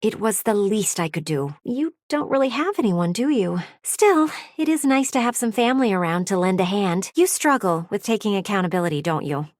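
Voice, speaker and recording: Theatrical, haughty, swooping game heroine, played by an actress. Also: female voice, mid-range voice, lively intonation, moderate pace, few pauses, a little mellow, dry recording, studio quality, natural voice. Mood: gossipy, self-satisfied. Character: vain, blunt, gossipy living window, flirty woman. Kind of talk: video game character voice acting.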